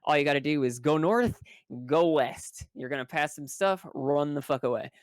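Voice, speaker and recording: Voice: medium pitch, lively intonation, moderate pace, clean tone, dry recording. Casual, sweary storyteller with a dry, close sound. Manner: swears freely, wry and colloquial. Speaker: tabletop role-playing game player. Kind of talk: casual, rambling spoken story recap.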